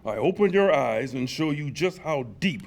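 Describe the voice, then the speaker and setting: An actor at a table read playing a grave, cryptic leader of an underground army, speaking with deliberate portent. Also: male voice, on the high side, lively intonation. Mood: grave, mysterious. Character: solemn cryptic underground-army leader in sunglasses and leather coat. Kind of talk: live script table read, dramatic. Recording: faint noise, live room table read.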